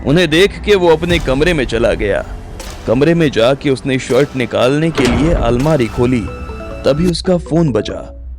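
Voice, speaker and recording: A man's voice: mid-range voice, lively intonation, measured pace. Male audio story narrator, music behind.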